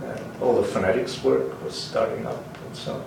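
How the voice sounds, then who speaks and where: Older finnish guy remembering stuff, lots of uhs, kinda low, far off mic with faint hiss. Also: steady tone, moderate pace, creaky. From Finland. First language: Swedish, Finnish and German. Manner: hesitant, many ums and restarts, chuckles. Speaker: interviewee, linguist. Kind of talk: oral history interview. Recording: roomy, distant, faint hiss.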